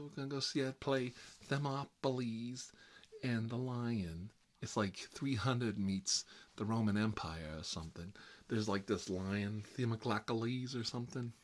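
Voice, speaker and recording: A man performing a chatty ASMR role-play as a fussy, petty grown man stuck babysitting, rambling on in a gossipy, petulant manner. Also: fairly low pitch. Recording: clearly noisy recording with clicks.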